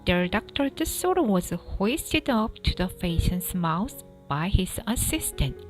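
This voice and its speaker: A woman narrating a children's storybook aloud in an expressive style, with music behind her. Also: mid-range voice, slow pace. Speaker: storybook reader. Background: background music.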